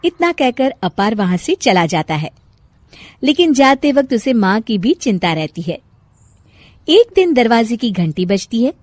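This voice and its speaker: Lively woman narrating a moral story. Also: a little high, a little slow, dry recording.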